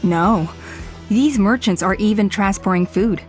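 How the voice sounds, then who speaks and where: Woman voicing a calm tribe elder lady, welcoming, steady medium voice, bit of music. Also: medium pitch, a little slow, natural voice. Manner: composed, hospitable. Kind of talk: game cutscene voice acting.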